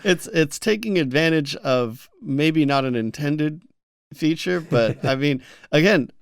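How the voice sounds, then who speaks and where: A man's voice: on the high side, lively intonation, a little slow, gravelly, clean tone, no echo, studio quality. A man co-hosting a gaming podcast, giving informal conversational commentary with joking banter. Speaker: podcast co-host.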